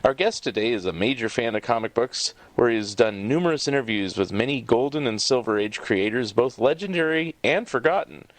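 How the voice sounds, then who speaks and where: A man in his fifties speaks as a podcast host, reading announcements and asking interview questions. His voice sits at an average male pitch, recorded close and dry with no room sound. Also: animated, brisk, few pauses, no room sound. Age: 50s.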